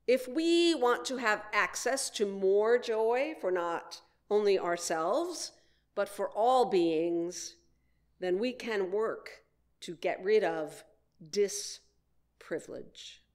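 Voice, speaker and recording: A woman preaches in the role of a minister, at an unhurried, slow pace. Her pitch sits in the middle range for a woman. She sets out her thoughts in deliberate short phrases, pausing often between them. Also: animated, darkish tone. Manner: deliberate phrasing with frequent pauses. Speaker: minister delivering a message. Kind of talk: sermon with read quotations.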